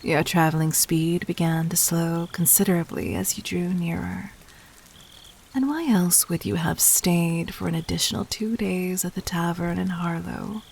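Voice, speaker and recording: A woman playing a regal, cunning, gently dominant demon queen in a roleplay audio drama, speaking formally and intimately with measured, breathy poise. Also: lowish voice, fairly even intonation, slight background noise. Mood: poised, knowing. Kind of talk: scripted roleplay audio drama addressed to the listener.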